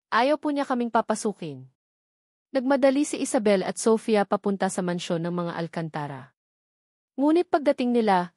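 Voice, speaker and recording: A woman's voice: mid-range voice, a little mellow, no echo, clean studio sound. A woman narrating a scripted dramatic story, reading both the narration and the characters' dialogue.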